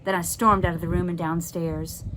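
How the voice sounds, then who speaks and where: A woman reading a children's novel aloud with expressive, dramatic narration. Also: on the low side, moderate pace, slight background noise, poor audio.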